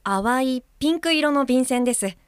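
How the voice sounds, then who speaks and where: An actress playing a gentle guide helper for the blind who reads a letter aloud carefully in a radio drama. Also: female voice, high voice, lively intonation, normal speed, clear, resonant tone, dry recording, studio quality.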